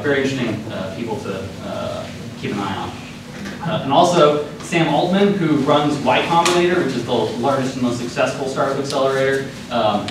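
A young man giving an informal academic presentation in a halting way with many filled pauses, recorded from across a lecture hall. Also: medium pitch. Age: young adult. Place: lecture hall, speaking at the front. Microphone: far camera microphone. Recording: echoey lecture room, distant microphone, clear noise.

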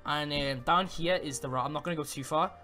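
A man's voice: fairly high pitch, fairly quick, pushed and bright. A man chatting casually in an improvised gaming roleplay, rambling and often repeating himself. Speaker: player in a Minecraft roleplay video. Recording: game music behind the voice.

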